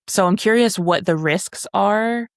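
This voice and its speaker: A woman's voice: medium pitch, measured pace, dry recording, clean studio sound. A woman co-hosting a podcast, chatting casually about books with a wry, sometimes sweary manner. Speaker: podcast co-host. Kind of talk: casual book discussion chat.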